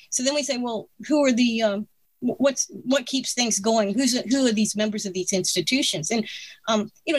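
A woman's voice: a little high, no room sound. Opinionated woman in her sixties, dry sound. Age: 60s. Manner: opinionated, animated, laughs between points. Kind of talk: casual political conversation.

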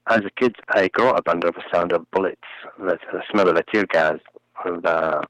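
A man in his forties from Palestine, a non-native English speaker, talking earnestly and haltingly in a phone interview. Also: low voice, a bit bright, dry recording. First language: Arabic. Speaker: interview guest. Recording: muffled phone recording.

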